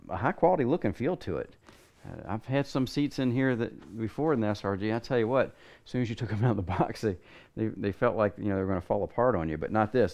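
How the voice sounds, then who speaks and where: A man's voice: deep voice, a little mellow. A middle-aged man giving a casual hands-on product review in his home garage, rambling and thinking aloud as he works.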